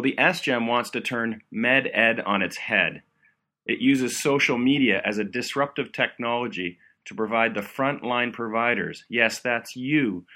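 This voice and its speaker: A man hosts a medical education podcast with an upbeat, enthusiastic delivery. His voice is bright and forceful, at a middle pitch. He talks into a home podcast microphone. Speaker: podcast host.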